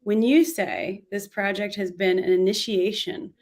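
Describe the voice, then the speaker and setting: Raspy-voiced woman from Quebec. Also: mid-range voice, steady tone, a little slow, a little mellow. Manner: easygoing, reads listener questions aloud. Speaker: co-host and podcaster. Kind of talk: casual conversational chat.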